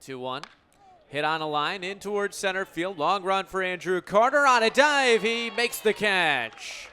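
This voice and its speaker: A man with a fairly high voice calls live baseball for the radio. He works at an unhurried pace in short, punchy phrases that swell on big plays. A light layer of ballpark noise sits behind him. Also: lively intonation, bright, forceful tone. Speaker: baseball radio play-by-play announcer. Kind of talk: live sports play-by-play commentary.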